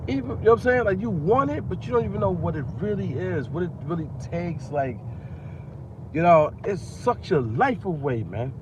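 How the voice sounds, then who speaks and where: A man's voice: high voice, expressive, moderate pace. Groggy man rapping about life from his car. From Long Island, New York. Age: 40s. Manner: loose, repetitive, street-slang musing with many fillers. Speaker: rapper and vlogger. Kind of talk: casual talking-to-camera rant. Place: car at night. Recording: dull lo-fi phone audio with car noise.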